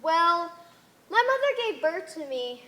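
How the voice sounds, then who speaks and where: Stage play: woman plays lost, indignant young woman, very high voice, hugely animated delivery. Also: slow pace, exaggerated voice. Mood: earnest explaining. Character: bewildered young woman stranded among strange cultists. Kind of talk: amateur one-act stage play acting.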